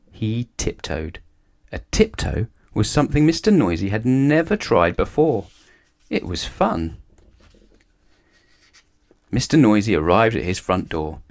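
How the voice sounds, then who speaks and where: Casting: male children's story reader, lowish pitch, very animated delivery. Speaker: storybook narrator. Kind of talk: expressive children's read-aloud.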